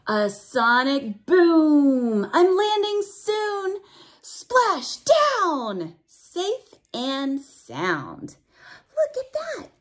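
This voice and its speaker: A woman's voice: sits high, huge pitch swings, very slow. A woman reading a picture book aloud to young children, stretching out the rhymes expressively and adding simple, teacherly explanations and questions for the listener.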